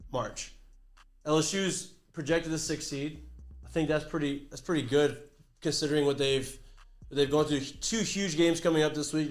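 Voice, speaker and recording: A man with a slightly raised voice and a touch of nasal stuffiness hosts a loose sports talk show. He rambles, jokes and corrects himself as he goes. The room sounds echoey, with soft music under him. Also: on the high side. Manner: rambling, self-correcting, jokey. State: a little nasal from a cold. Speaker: podcast host.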